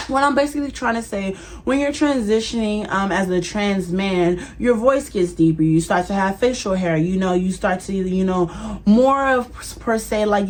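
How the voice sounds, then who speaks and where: A woman in a vlog clip, mid-pitched and insistent, making her points with repeated "okay, right?" checks. She talks to her viewers as if she's setting them straight. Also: slight background noise. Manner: emphatic, peppered with "okay, right?". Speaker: video creator in a played clip. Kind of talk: direct-to-camera opinion vlog.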